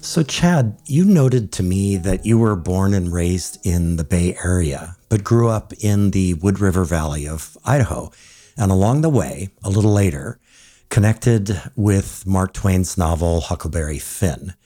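A man's voice: sits low, expressive, a little slow, warm tone. A man from Hawaii hosting a podcast, asking reflective, conversational questions of his guest. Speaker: podcast host. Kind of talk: podcast interview.

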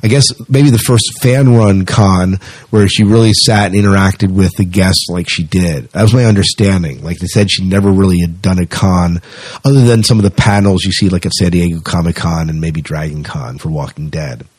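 A middle-aged man with a fairly low voice hosts a podcast in an informal, conversational way. The sound is very dry, with little room around the voice. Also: normal speed, darkish tone, slightly rough, no echo. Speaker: podcast host. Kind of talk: casual podcast chat.

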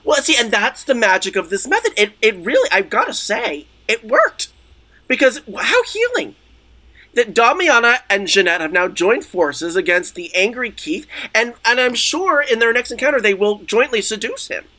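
An eccentric, self-dramatizing woman, played by an improv comedian. Her voice is forceful and sharp, and it leaps up and down. She is earnest and gushing, treating everything with theatrical sincerity. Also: fairly high pitch, very animated, normal speed, full character voice. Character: eccentric, self-dramatizing woman role-play therapy enthusiast. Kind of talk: improvised role-play comedy.

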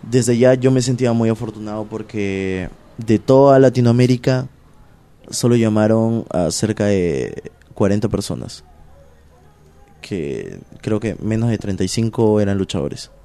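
Peruvian-accented wrestler, mellow-toned, loose slangy chat, filler-heavy. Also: male voice, fairly low pitch, a little slow. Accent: Peruvian Spanish. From Peru. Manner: animated, slangy, many fillers. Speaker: pro wrestler guest. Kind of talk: casual interview chat.

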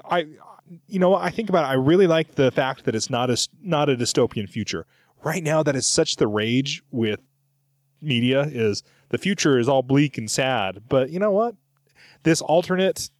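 A man's voice: medium pitch, no room sound. A middle-aged man with a mid-range voice recorded very dry. He hosts a podcast in a chatty, loose style, reading out comments and wandering off, then correcting himself as he goes. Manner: chatty, rambling, self-correcting. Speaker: podcast host. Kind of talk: casual chat, reading out listener comments.

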